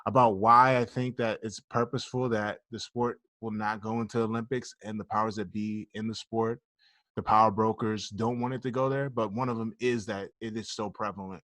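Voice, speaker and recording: A man's voice: fairly low pitch, little pitch movement, normal speed, a bit bright, no echo. A man co-hosting a casual podcast, talking bluntly and jokingly and swearing freely. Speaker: podcast co-host.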